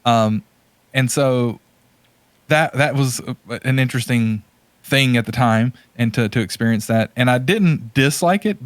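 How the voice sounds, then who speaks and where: A man co-hosts a podcast in a mid-pitched voice, recorded dry. He talks casually and a little hesitantly, rambling along and circling back to reword his thoughts. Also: dry recording. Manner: hesitant, rambling, restating himself. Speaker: podcast co-host. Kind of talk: casual chat about movies and games.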